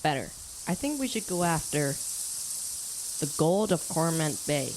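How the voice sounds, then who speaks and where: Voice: mid-range voice, very animated, normal speed, background noise. A young performer playing a protective preteen boy, the scheming leader of a group of friends, in an audio drama. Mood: firm, protective. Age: child. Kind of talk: youth audio drama acting.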